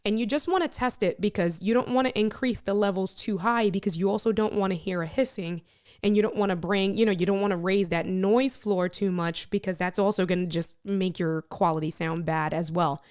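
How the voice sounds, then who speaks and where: Loud, projecting woman streamer. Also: mid-range voice, a little fast. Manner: energetic, playful, talks straight to viewers. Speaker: live stream host testing microphones. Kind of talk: casual live stream chat. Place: room with little furniture. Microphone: switching between test microphones at different distances.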